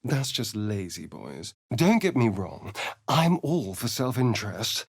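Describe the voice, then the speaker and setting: A British actor playing a sly, vain vampire spawn rogue in a video game, with an arch, theatrical, drawling delivery. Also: male voice, lowish voice, big pitch swings, measured pace, vocal fry, husky, no echo, studio quality, exaggerated voice. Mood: disdainful. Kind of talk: dramatic video game voice acting.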